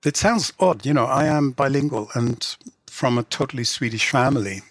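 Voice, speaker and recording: A man's voice: mid-range voice, dry recording. A middle-aged man from England, a translator and novelist, talking casually in an interview with many restarts and fillers. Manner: halting, many restarts and "you know" fillers.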